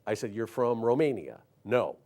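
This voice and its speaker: A man's voice: mid-range voice, sing-song, normal speed, dark tone. A man hosting a casual talk show, rambling and cutting himself off mid-sentence. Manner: rambling, self-interrupting.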